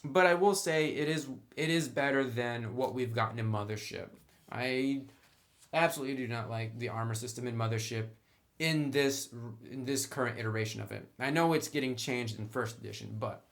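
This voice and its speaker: A man's voice: medium pitch, moderate pace, a bit bright. A man presenting a tabletop game review in a casual, conversational way, explaining things step by step straight to the viewer. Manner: conversational, instructional, addresses the viewer directly.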